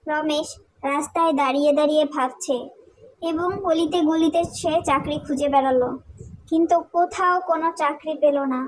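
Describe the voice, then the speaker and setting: A woman's voice: high voice, fairly even intonation, normal speed, rough recording. A woman narrating a cartoon folk tale in a scripted storytelling style.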